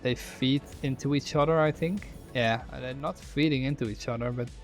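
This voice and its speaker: A man's voice: medium pitch, normal speed, soft background music. A young Dutch man with a Dutch accent, thinking aloud and chatting with viewers while playing a factory-building game on stream. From Netherlands. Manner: thinks aloud, restarts words, reads chat questions aloud. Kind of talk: gameplay commentary while reading chat.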